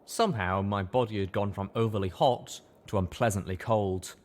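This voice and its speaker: A man narrating a first-person story aloud with expressive, scripted audiobook delivery. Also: lowish voice, normal speed.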